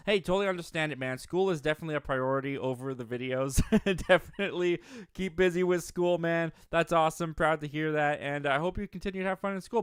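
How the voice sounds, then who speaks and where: A man's voice: on the high side, fairly bright tone, clear, resonant tone, dry recording, clean studio sound. Upbeat young man hosting a live stream. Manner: upbeat, rambling, frequent restarts and self-corrections. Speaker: host of a live movie Q&A stream. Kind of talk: casual live-stream chat reading viewer comments.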